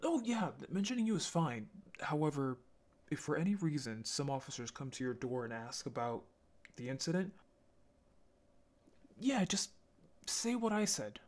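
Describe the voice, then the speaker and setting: A man playing a lonely, apologetic young male zombie in an audio roleplay, speaking in a halting, intimate way. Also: on the high side, sing-song. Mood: worried, earnest. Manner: halting, stammering, sighing.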